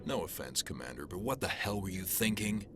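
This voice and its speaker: A man playing a gruff, pragmatic alien police detective in a video game, blunt and brusque. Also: deep voice, sing-song, fairly quick, loud music behind the voice. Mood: reproachful. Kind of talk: game voice acting.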